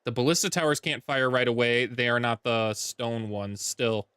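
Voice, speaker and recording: A man with a deep voice streaming a strategy game from home, chatting casually, thinking aloud and correcting himself as he plays. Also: fairly even intonation, normal speed, pushed and bright, smooth, dry recording, clean studio sound. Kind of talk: casual gameplay livestream chat. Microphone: desk mic with noise gate.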